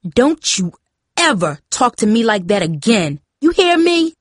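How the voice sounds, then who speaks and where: A woman voicing a furious, embittered mother in an audiobook, yelling and accusing. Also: on the high side, big pitch swings, measured pace, no echo, clean studio sound, pitched above the performer's own voice.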